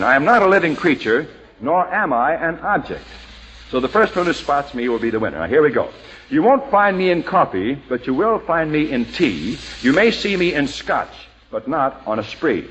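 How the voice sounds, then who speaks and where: Male 1940s radio quiz emcee: jovial, teasing, lively pitch, old broadcast hiss. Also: highs cut off. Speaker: quiz show host.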